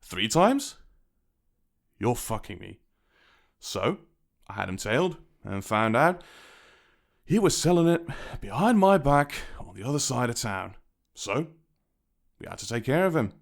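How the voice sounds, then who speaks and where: A British gangland boss, acted by a man in a dramatic audio roleplay monologue. He threatens in a chatty, taunting tone with sardonic menace. His middle-pitched voice swings widely up and down as he toys with his victim. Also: very animated, a little slow, gravelly, long pauses. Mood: cold storytelling. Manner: taunting, theatrical, conversational threats with sardonic chuckles.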